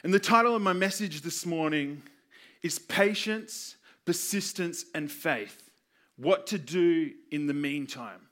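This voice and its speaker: A man preaching a sermon with personal stories, earnest and emphatic, using repetition and deliberate pauses. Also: on the high side, a bit bright. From Australia. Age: 20s. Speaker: youth pastor preaching.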